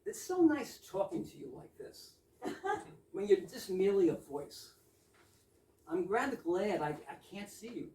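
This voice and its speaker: A woman's voice: medium pitch, big pitch swings, unhurried, long pauses, mellow. Dreamy poetic young suitor, woman acting the part, really swoopy delivery, echoey stage. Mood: curious. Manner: earnest, romantic, rhapsodic. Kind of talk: amateur readers theater of a 1920s comic play. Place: indoor readers theater stage, distant microphone. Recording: echoey stage recording from far off.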